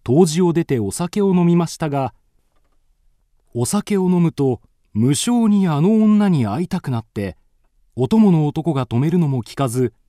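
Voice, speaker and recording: A man's voice: on the high side, warm tone, no echo. A man narrating a scripted ghost story in a polite storytelling manner.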